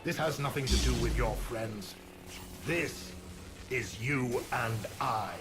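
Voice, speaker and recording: A man playing a gloating dark emperor in a game, slow, theatrical and full of contempt. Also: mid-range voice, loud music behind the voice, natural voice. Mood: cold contempt. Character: menacing, gloating dark emperor possessing a Jedi master. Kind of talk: dramatic game villain acting.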